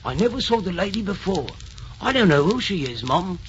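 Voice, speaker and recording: An actress playing a polite household servant, deferential and subdued, in an old-time radio drama. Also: female voice, lowish voice, sing-song, a little fast, soft background music. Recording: dull old radio recording, faint noise.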